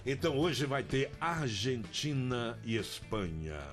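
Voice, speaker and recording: A man's voice: medium pitch, big pitch swings, takes it slowly. A man with a Brazilian accent hosting live morning radio, bantering in a playful, teasing, exclamatory way. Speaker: radio host. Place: radio studio. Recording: music playing underneath. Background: music bed.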